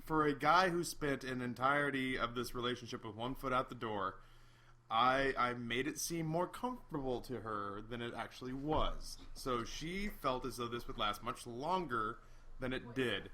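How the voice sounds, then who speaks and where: A man's voice: medium pitch, normal speed, pushed and bright. A tipsy man in his thirties hosting, telling a story to friends at a house by a fire, rambling and repeating himself, recorded on a laptop mic. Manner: rambling, repeats words, laughs often. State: tipsy from drinking. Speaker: host and storyteller. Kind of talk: casual storytelling among friends. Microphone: laptop microphone.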